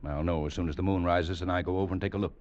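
An American actor playing a stern, exacting older cavalry captain in a radio drama, speaking in a measured, formal, lecturing way. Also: male voice, sits low, fairly even intonation, a little mellow, close to an everyday voice. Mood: stern, commanding. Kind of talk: 1940s-50s radio acting. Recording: dull, hissy old radio recording.

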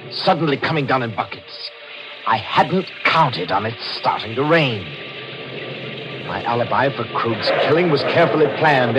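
An actor playing a cold, calculating newspaperman turned murderer, deliberate and clipped in a 1940s radio thriller. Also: low-pitched. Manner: deliberate, clipped, menacing narration and dialogue. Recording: muffled vintage radio broadcast.

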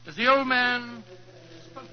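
High-voiced gentleman storyteller. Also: slow pace. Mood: narrating. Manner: storytelling, dramatic. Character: Holmes's loyal gentleman friend recounting his adventures. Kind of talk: scripted 1940s radio narration. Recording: dull 1940s radio recording.

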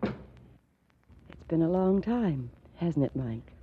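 A woman's voice: low voice, expressive, very slow, noisy background, heavily acted. An actress playing a subdued, anxious woman, a gangster's old flame, hesitant and earnest, in 1940s radio style. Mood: wistful, tender. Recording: thin, tinny old radio recording.

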